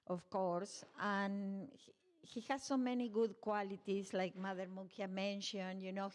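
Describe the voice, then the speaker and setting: A woman's voice: mid-range voice, darkish tone. A woman, sharing fond memories of her spiritual teacher in a heartfelt speech at a temple celebration, with some hesitations. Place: temple hall.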